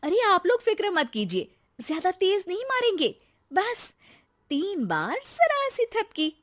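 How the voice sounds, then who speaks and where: A woman's voice: very high-pitched, huge pitch swings, unhurried, a bit bright, voice pushed up, exaggerated voice. A woman voicing a clever young village wife in a cartoon story, exasperated yet sly and sweet-talking. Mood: falsely sweet.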